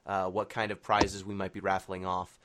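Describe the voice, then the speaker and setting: A man hosts a casual interview podcast in a fairly low voice. He moves between questions for his guests and informal announcements for listeners. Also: monotone, moderate pace, a bit bright, a little hiss or hum.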